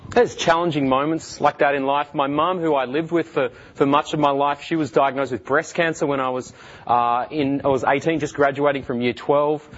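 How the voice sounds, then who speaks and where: An Australian man in his thirties, a guest speaker telling his life story in earnest, halting speech full of fillers and restarts. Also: a little high, steady tone, fairly bright tone, highs cut off. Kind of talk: personal testimony talk.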